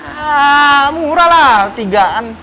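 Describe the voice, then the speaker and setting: Casual vlog host, male, Indonesian accent. High voice, swooping intonation, teasing and clipped delivery. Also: lively intonation, drawn-out words, sharp tone, faint music behind. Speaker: car channel host. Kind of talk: casual chat, showing cars and questioning a guest.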